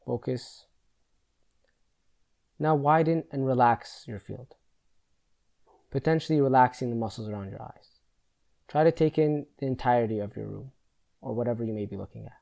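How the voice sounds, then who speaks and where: A man with a soft, mellow, relaxed voice guides a meditation. His pitch sits in an average male range. He gives gentle, measured instructions as a teacher would. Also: creaky.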